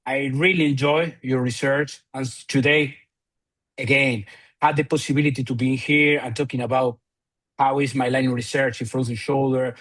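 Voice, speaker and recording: Argentine man in halting English. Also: medium pitch, little pitch movement, fairly bright tone. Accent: Argentine Spanish. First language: Spanish. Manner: halting non-native English with frequent fillers and restarts. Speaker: physiotherapist and researcher guest. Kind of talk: conversational podcast interview.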